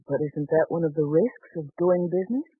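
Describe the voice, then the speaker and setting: A woman's voice: sits low, moderate pace, breathy edge, no room sound. An actress playing a concerned, hopeful wife and mother in a 1940s radio drama, earnest and encouraging. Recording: tinny, band-limited 1940s radio broadcast.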